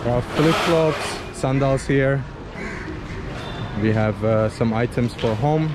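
Chatty vlogger man in loud street market. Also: mid-range voice, takes it slowly. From Azerbaijan. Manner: chatty, simple non-native English, asks passersby directions. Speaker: travel vlogger. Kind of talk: casual walking vlog. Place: busy outdoor street market. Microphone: handheld camera at arm's length. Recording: loud street noise outdoors. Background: traffic and market bustle.

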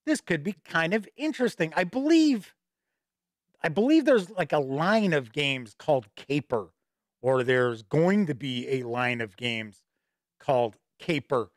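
Middle-aged guy hosting a live news stream, a bit stuffy from a cold, highish voice, close boom mic, dry sound. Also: animated, unhurried, no echo. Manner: chatty and upbeat, reading news with asides. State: a little stuffy with a starting cold. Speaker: host and editor-in-chief of a tabletop gaming news show. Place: home studio with shelves of games behind. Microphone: studio mic on boom arm close to mouth.

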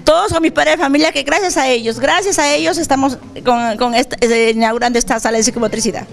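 A woman's voice: sits high, quick pace, gravelly. A middle-aged woman, the school principal, answering a reporter cordially and proudly. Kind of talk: interview answers.

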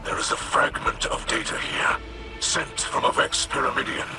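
A man playing a gentle, scholarly alien ally in a video game, speaking in a hushed, breathy, measured and formal way. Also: music in the background. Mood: pensive. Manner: measured, formal, hesitant, wonder-filled. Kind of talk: scripted video game dialogue.

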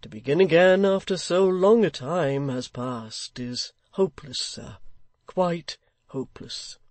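Man putting on a middle-aged locksmith, earnest and respectful, raised slow delivery, dry close sound. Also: a little high, no room sound, voice pushed up, close to an everyday voice. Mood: resigned. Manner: earnest, respectful, gently persuasive. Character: kindly, plain-spoken male locksmith, middle-aged. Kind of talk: audiobook character voice.

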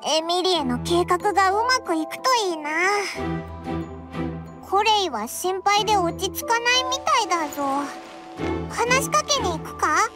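A woman's voice: very high-pitched, animated, unhurried, loud music behind the voice. A woman voicing a tiny, childlike fairy companion in a game, cheeky and eager, with brash boyish speech. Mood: concerned, chirpy. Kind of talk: Japanese game dub dialogue.

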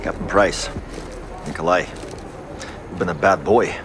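An actor playing a calm, wry Russian army sergeant in a video game, with a Russian accent and measured delivery. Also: male voice, sits low. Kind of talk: video game cutscene acting. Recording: outdoor airfield ambience noise.

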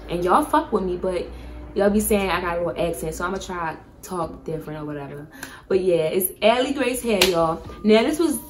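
A young woman vlogger giving a casual, chatty product review, speaking candidly with plenty of slang and swearing. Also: mid-range voice. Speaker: beauty vlogger.

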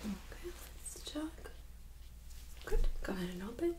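A woman in her thirties speaking gently and very softly, often near a whisper, in an ASMR medical role-play as an examiner. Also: sits high, sing-song, unhurried. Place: small indoor room set up as exam office.

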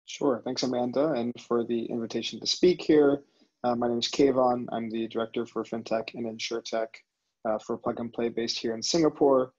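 A man's voice: medium pitch, fairly even intonation, moderate pace, a little mellow. A young man with an American accent, introducing himself as a venture capital director in a fluent, businesslike way on a video call. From United States. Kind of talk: panel self-introduction. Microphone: headset on video call. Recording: husky, dull video call audio.

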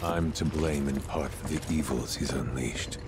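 An actor voicing a brooding, world-weary gunslinger in a video game, terse, deadpan and somber, weighed down by guilt. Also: male voice, rumbling low, normal speed, background noise. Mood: somber, regretful. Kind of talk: dramatic video game acting.

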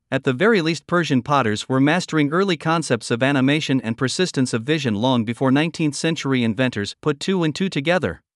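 A man reading a scripted documentary narration in an even, measured way. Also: on the high side, dry recording, studio quality.

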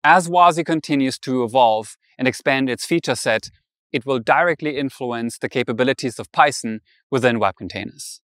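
A man's voice: medium pitch, moderate pace, fairly bright tone, no room sound, studio quality. A young man gives a scripted tech keynote outdoors in a meadow, speaking into a lapel mic in an upbeat, polished way. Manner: upbeat, polished announcer delivery. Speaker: presenter.

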